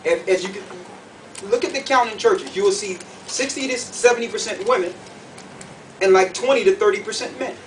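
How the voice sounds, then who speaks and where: A man's voice: sits high, brisk. A man preaching and teaching scripture verse by verse in an emphatic, didactic way, often checking that listeners follow. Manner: emphatic, didactic, checks understanding with short questions. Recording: distant mic, faint background noise.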